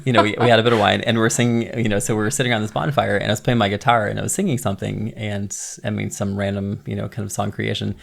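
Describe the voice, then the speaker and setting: A man's voice: on the low side, little pitch movement, racing, creaky, hardly any pauses. A man in his thirties from Maine, a band member chatting easily in an interview, with plenty of fillers and laughter. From United States, Maine. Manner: easygoing, laughs often, many fillers. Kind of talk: casual interview chat.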